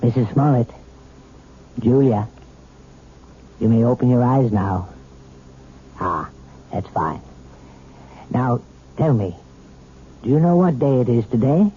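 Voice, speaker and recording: An actor playing a calm, authoritative hypnotist doctor in 1970s radio drama, measured and reassuring. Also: male voice, medium pitch, husky, phone-quality sound, exaggerated voice. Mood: steady, commanding. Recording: thin, faintly noisy old radio broadcast.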